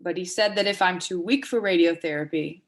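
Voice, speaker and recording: A woman in a dramatic reading, playing a sharp, foul-mouthed, vulnerable woman with a halting, blunt delivery. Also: fairly low pitch. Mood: hesitant, subdued. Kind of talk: dramatic reading of a stage play dialogue.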